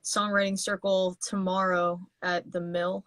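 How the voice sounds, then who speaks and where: A woman's voice: mid-range voice, level tone, measured pace, a bit bright, dry recording. A woman musician, chatting on a video call as an interview guest, talking in loose, rambling sentences with many restarts. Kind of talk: casual interview chat.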